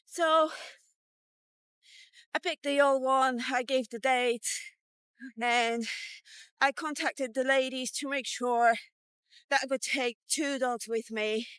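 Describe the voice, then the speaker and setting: A woman's voice: sits high, steady tone, takes it slowly, pauses often, fairly bright tone, clean tone, no echo. A woman in her fifties rambling through a casual vlog rant in a sarcastic, sweary, conversational way. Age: 50s. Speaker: vlogger. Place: outdoors on a walk.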